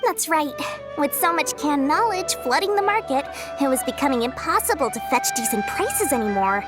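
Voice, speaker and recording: A woman's voice: high-pitched, animated, moderate pace, loud music behind the voice. A woman voicing a shrewd, greedy young female merchant in a video game, talking in a sly, bubbly, salesy way. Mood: scheming. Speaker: game character.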